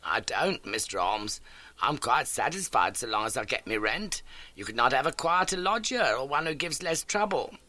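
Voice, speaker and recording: Man putting on a chatty, high-voiced old landlady. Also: lively intonation, normal speed, bright, forceful tone, no room sound. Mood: chatty, earnest. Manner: chatty, folksy, dramatic storytelling. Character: elderly, motherly working-class landlady, garrulous and earnest. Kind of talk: audiobook character acting.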